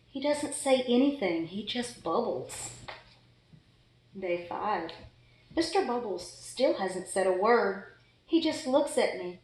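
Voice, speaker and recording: A woman voicing an exasperated, fussy pet goldfish in a dramatic children's storybook read-aloud. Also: mid-range voice. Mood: grumpy, deadpan. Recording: echoey room.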